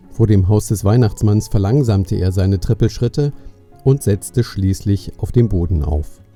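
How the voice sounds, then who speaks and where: Very mellow, low male storyteller. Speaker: narrator. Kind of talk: scripted storytelling for a family Christmas audio play.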